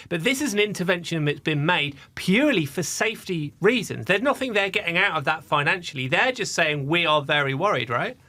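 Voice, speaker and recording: A man's voice: a little high, pushed and bright, no room sound. A man, an aviation journalist, giving thoughtful opinions on a podcast, often hedging with "I think". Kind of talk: casual opinion discussion.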